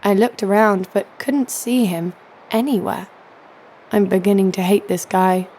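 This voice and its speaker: A woman's voice: mid-range voice, unhurried, background noise, close to an everyday voice. A woman playing a hot-tempered young female fighter in an audio drama, blunt and sarcastic. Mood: wry, storytelling. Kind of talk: first-person audio drama narration and dialogue.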